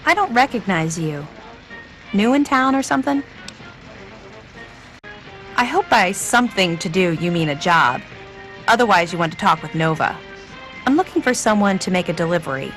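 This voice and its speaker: Lowish-voiced, casual townswoman. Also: expressive, moderate pace, long pauses, a bit bright, soft background music, poor audio. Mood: casual, earnest. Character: casual, worried townswoman asking a stranger a favour. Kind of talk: video game character acting.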